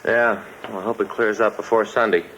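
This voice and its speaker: A man's voice: lowish voice, fairly even intonation, fairly quick, a bit bright, lightly acted. A man acting a talkative, earnest policeman with an American accent, in folksy 1950s radio drama style. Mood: casual. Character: chatty, earnest homeowner policeman, enthusiastic and easily aggrieved. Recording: muffled old radio recording, faint noise.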